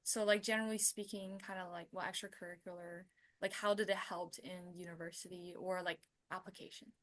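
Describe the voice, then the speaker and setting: A young woman hosting a breakout room, asking questions haltingly with many fillers. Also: fairly low pitch, level tone, fairly bright tone. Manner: halting, lots of likes and ums. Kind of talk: moderating a panel.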